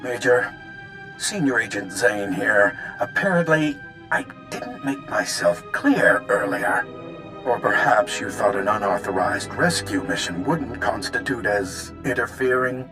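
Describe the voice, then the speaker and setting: A man's voice: lowish voice, very animated, measured pace, over music. An actor playing a smug secret-service agent in a video game, sarcastic and condescending in his delivery.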